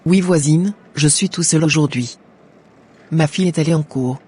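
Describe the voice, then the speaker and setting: Man playing a husky, trusting cartoon mother. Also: fairly high pitch, normal speed, darkish tone. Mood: polite, friendly. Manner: polite, scripted phrasing. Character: kind, trusting, pious mother, a proud neighbour. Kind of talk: animated moral drama dialogue. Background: faint music.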